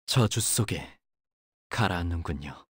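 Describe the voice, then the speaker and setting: Low, subdued, solemn young man. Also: expressive, a bit bright, slightly rough, no room sound, studio quality, full character voice. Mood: calm, somber. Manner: measured, formal, subdued. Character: solemn, softly spoken young curse-talisman wielder, resigned and polite. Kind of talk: video game character voice lines.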